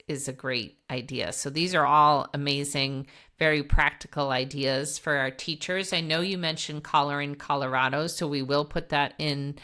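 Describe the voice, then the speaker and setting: A woman's voice: a bass voice, sharp tone. A woman hosting an education podcast, asking questions and affirming and summing up her guest's points. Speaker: podcast host. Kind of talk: casual podcast interview.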